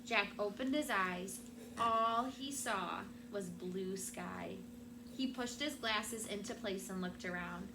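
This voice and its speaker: A woman's voice: medium pitch, slow. A woman teacher reading a storybook aloud to young children with dramatic, emphatic phrasing. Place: classroom. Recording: noisy room with background ambience.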